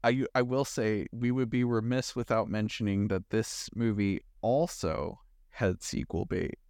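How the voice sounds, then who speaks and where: A man's voice: on the low side, fairly even intonation, measured pace, darkish tone, no room sound, clean studio sound. A man co-hosting a podcast, chatting casually as he reviews a movie. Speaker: podcast co-host.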